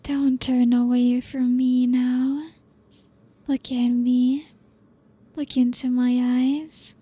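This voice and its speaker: A woman voicing a possessive, seductive female vampire in an ASMR roleplay, speaking softly and slowly as she dotes and commands. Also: high voice, little pitch movement, husky, no room sound, exaggerated voice. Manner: slow, soft, doting and controlling.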